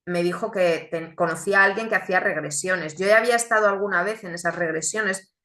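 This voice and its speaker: Bright-voiced woman sharing her testimony. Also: fairly low pitch, roomy sound. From Chile, raised in Spain. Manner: earnest and reflective, with deliberate pauses. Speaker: speaker giving a testimony. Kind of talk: religious testimony talk.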